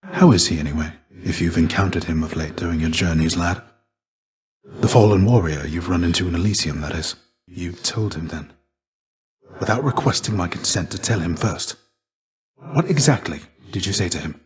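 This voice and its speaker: A deep male voice for a video game character, performed by an actor: a fallen Greek warrior, stoic and weary. He speaks low, in measured, formal phrases. There is a restrained, gentle gravity to him, like a fatherly mentor. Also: natural voice. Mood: guarded, tense.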